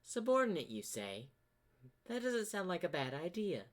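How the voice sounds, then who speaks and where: A man's voice: high voice, huge pitch swings, moderate pace, voice pushed up. A man voicing a boastful, sadistic female unicorn pony sorceress in a fan-made story, smug and taunting. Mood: smug, intrigued.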